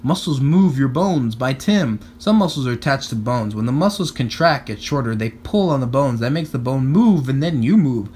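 A man reading a children's picture book aloud in an animated, expressive way. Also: mid-range voice, normal speed, few pauses, a little hiss or hum. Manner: expressive, acts out captions and speech bubbles.

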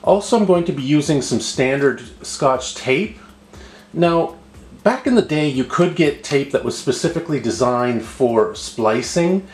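Male tutorial host, roomy indoor echo, medium pitch, patient, explanatory, unscripted, repeats himself. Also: expressive, unhurried, slight background noise. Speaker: host of a how-to video. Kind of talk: step-by-step tutorial narration, unscripted. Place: indoor room at a table. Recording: roomy, echoing indoor voice recording.

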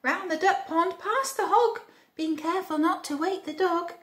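A woman's voice: extremely high pitch, moderate pace, a bit bright, pitched above the performer's own voice. A woman voicing a little storybook ladybird who earnestly passes on a secret plan in careful, sing-song phrases. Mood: earnest, urgent. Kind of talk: children's storybook character voice. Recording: echoey room.

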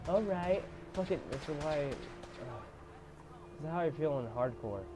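A young man with a congested voice giving casual, swearing commentary while playing a game, mostly low and mumbled with sudden loud outbursts. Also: lively intonation, takes it slowly, noisy background. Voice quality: congested, stuffy. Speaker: gamer playing a shooter game.

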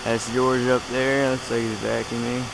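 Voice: mid-range voice, flat delivery, moderate pace, gravelly, heavy background noise. A helper holding the camera, chatting casually and asking amazed questions outdoors. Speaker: helper filming. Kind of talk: casual chat. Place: outdoors under oak trees.

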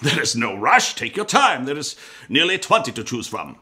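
An actor playing a pompous, zealous Templar commander in a historical audio drama, lecturing expansively and emphatically. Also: male voice, mid-range voice, big pitch swings, normal speed, bright, forceful tone. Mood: proud.

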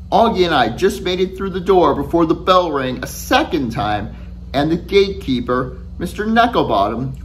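A middle-aged man, an author reading his children's novel aloud to camera in an expressive, deliberate storytelling manner. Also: high-pitched, a little hiss or hum, poor audio. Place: furnished room at home, indoors.

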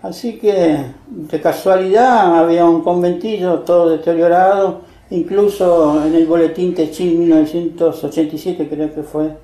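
Older man, mellow gravelly voice. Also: fairly high pitch, steady tone, normal speed. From born in Slovenia, moved to Argentina at ten. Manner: rambling, with frequent tag questions. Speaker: interviewed artist. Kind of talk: casual personal storytelling in an interview. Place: book-lined indoor room, seated. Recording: echoey room pickup.

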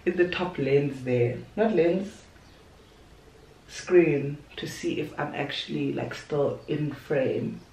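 A woman's voice: sits low, darkish tone, far from the mic, slight background noise. A young woman chatting casually through a makeup tutorial at her vanity, playful and talkative. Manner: chatty, playful asides, talks while applying makeup. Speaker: beauty vlogger. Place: bedroom vanity table, indoors. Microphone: camera on the desk, a little away. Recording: roomy echo, voice a bit off-mic.

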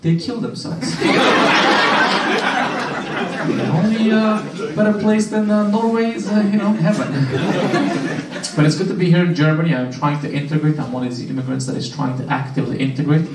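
A man's voice: a little high, normal speed. Romanian man doing stand-up in a roomy club. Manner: deadpan punchlines, playful crowd work. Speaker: stand-up comedian. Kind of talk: stand-up set. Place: small comedy club stage. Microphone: handheld mic. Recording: roomy club sound, camera far off. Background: audience laughter.

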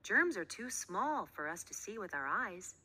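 A woman's voice: medium pitch, sing-song, a little slow, bright, forceful tone, breathy edge, in a large hall, full character voice. A woman voicing a helpful mother in a storybook, explaining things expressively.

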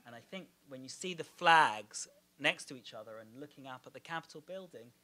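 Israeli guy giving a talk at a podium, punchy emphatic delivery, bright voice. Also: a little high, expressive, a little fast. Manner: emphatic, gestures a lot, rhetorical questions. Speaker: guest lecturer. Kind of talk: academic lecture with slides. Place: small lecture room at a podium. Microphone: podium microphone.

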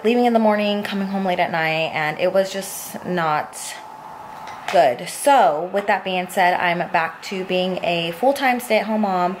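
A young woman vlogging from her kitchen, slightly raspy from a cold, chatting in a rambling way with many asides, filmed on a handheld phone. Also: mid-range voice, a little hiss or hum, rough recording. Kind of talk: casual chat. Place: home kitchen, indoors. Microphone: handheld phone camera at arm's length.

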